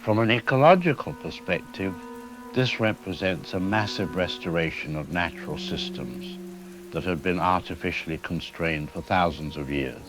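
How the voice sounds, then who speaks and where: A man playing a weary, resigned scientist in an apocalyptic audio drama, giving a measured, academic monologue on a dull lo-fi recording. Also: low voice, natural voice. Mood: resigned, subdued. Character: weary, resigned male scientist surviving the apocalypse, solemnly analytical.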